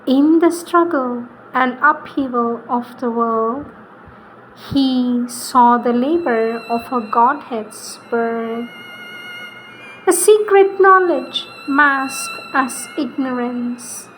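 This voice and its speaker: Very slow, high-voiced woman reciting solemnly. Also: fairly bright tone, a little hiss or hum, rough recording. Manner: solemn, deliberate, with pauses between short phrases. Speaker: reader. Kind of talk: slow devotional reading of spiritual poetry.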